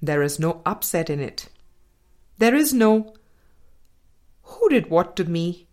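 A woman narrates a spiritual self-help book as a scripted reading. Her voice sits a little low for a woman. She takes her time, moving very slowly and deliberately through each sentence. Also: sing-song, a little mellow. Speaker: audiobook reader.

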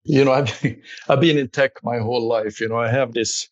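Male executive guest, Swedish accent, fairly flat intonation, conversational, on dry, slightly muffled audio. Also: medium pitch, moderate pace, no echo. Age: middle-aged or older. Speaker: company executive, interview guest. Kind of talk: conversational interview answers.

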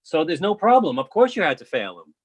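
A man's voice: on the high side, sing-song, fairly quick, breathy edge. A man reading the part of a blunt college colleague who probes and challenges, in a play. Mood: probing. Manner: challenging, colloquial, probing. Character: blunt, accusing male college colleague, sympathetic to students. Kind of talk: readers theatre play reading.

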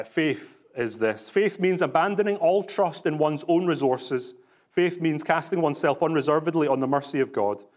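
Man, Scottish accent, pastor, sermon, rhetorical questions, easy chatty delivery. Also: on the high side. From Scotland. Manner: conversational, with many fillers and rhetorical questions.

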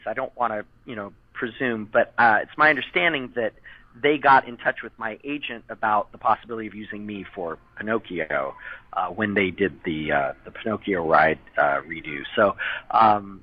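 A man who voiced a character as a child, chatting in an interview and rambling with many fillers and restarts. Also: on the low side. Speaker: interview guest, former child voice actor. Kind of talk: casual interview chat. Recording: tinny phone-line sound.

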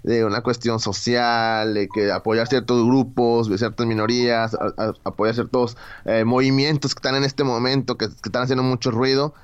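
A man's voice: medium pitch, flat delivery, normal speed, few pauses, smooth, highs cut off. A man, co-hosting a casual sports chat and talking hesitantly, with many fillers. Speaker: co-host.

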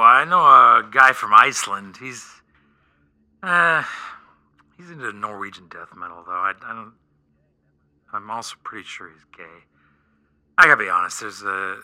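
A man's voice: medium pitch, expressive, normal speed, pauses often, sharp tone. A man playing a blunt stoner friend in a radio play, direct and profane, supportive but exasperated. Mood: laid-back, teasing. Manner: profane, direct, pep-talking. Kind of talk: naturalistic comedic radio play acting.

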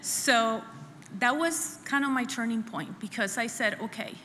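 A middle-aged woman from El Salvador, sharing her personal testimony at a church women's conference in an earnest, halting way, often repeating phrases. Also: a little high, sharp tone. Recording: echoey hall with faint room noise.

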